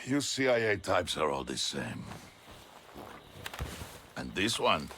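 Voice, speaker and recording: Menacing crime boss, very low voice that swings around, mocking, bit of noise behind. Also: male voice, sing-song, slow, sharp tone, full character voice. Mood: mocking, suspicious. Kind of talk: video game cutscene acting.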